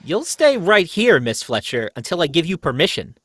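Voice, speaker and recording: A man's voice: a little high, big pitch swings, normal speed, fairly bright tone, no echo. A man playing a stern, bossy police inspector in old-time radio mystery style, giving clipped commands. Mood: commanding.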